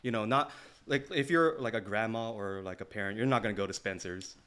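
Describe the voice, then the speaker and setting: A man's voice: fairly low pitch, brisk, a bit bright, clean tone. A man co-hosting a podcast, chatting informally with a friend in slangy language with casual swearing. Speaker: podcast co-host. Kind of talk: casual chat between friends.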